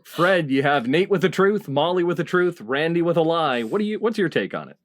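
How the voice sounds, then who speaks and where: A woman's voice: sits low, lively intonation, brisk. A woman hosting a casual podcast quiz, calling turns and scores in an orderly way. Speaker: game show host.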